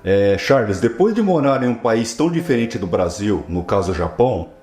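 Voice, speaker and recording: Man, fairly low voice, casual interviewer, brief responses, roomy echo. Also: sing-song, moderate pace, a little mellow. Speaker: interviewer and show host. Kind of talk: casual interview with short reactions and read-out questions. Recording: roomy echo, faint music behind. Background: faint instrumental music.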